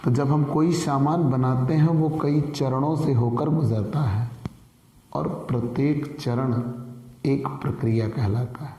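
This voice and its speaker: A man teaches an online commerce lesson through a sore, hoarse throat. His voice is mellow and warm, and he talks earnestly to his viewers as friends. The sound carries a roomy echo. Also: mid-range voice, fairly even intonation, a little slow. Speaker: commerce tutor. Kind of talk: online lecture.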